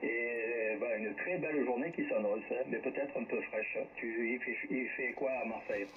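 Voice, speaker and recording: A man acts as controller of an amateur radio round, handing the microphone along in casual chat. His voice comes through a muffled radio link with clear hiss and noise around it. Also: mid-range voice, moderate pace. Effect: shortwave radio transmission. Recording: muffled, noisy ham radio reception. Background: radio static and hiss.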